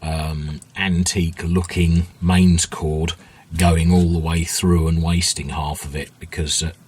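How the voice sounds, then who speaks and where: A man giving an informal workbench tutorial as a hobbyist restorer, thinking aloud in a hesitant, unhurried way with a husky voice. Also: sits low, level tone, darkish tone, a little hiss or hum. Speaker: hobbyist radio restorer, video host.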